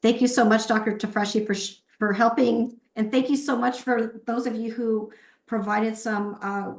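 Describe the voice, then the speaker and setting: A woman's voice: a little high, fairly even intonation, moderate pace, vocal fry. A woman presenting at a webinar, explaining things step by step and posing rhetorical questions. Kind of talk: explanatory lecture with brief acknowledgements of participants. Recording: roomy, echoey sound.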